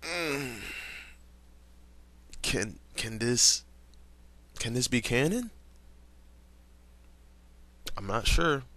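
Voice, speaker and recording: A man's voice: medium pitch, expressive, extremely slow, long pauses, sharp tone, no room sound. A young man reading fan fiction aloud in a casual way, stumbling over words and breaking off to comment and laugh.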